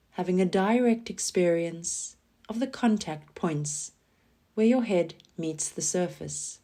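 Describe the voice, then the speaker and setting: A woman leading a scripted guided relaxation meditation in a calm, soothing manner, giving gentle instructions with pauses. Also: lowish voice, slightly rough. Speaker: yoga nidra guide.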